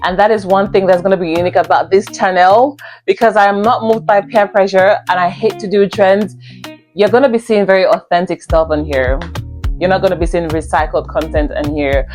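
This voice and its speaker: A woman's voice: lowish voice, steady tone, fairly quick, darkish tone. A young woman from Nigeria with a Nigerian accent, talking earnestly to camera on her first vlog and repeating herself for emphasis, with faint music behind. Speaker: vlogger. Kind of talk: casual talk to camera.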